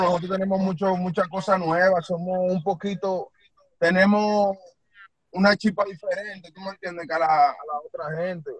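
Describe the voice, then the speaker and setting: A man's voice: high voice, dry recording, poor audio. A young man from Puerto Rico, an urban music artist, talking loosely on a phone video call from a car, full of slang tags. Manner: loose slangy talk, repeats tú me entiendes. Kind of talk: casual interview answers.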